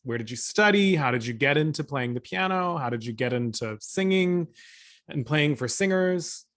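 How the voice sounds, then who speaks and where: A man's voice: a little high, animated, moderate pace, a bit bright. Upbeat man hosting a video call. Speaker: host. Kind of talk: online interview chat. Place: home room, indoors.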